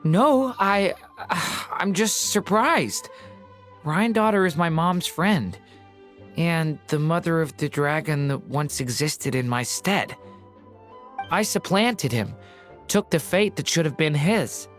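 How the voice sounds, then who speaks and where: A naive storybook-born youngster in an anime-style game. The voice is slightly low yet very expressive, earnest and polite, over soft music. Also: female voice, slow. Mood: uneasy.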